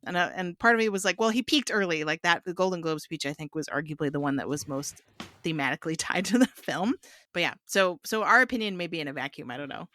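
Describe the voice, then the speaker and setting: A woman's voice: on the low side, fast talker, pushed and bright. A woman chatting informally and anecdotally as a panelist on a film podcast. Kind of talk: casual chat.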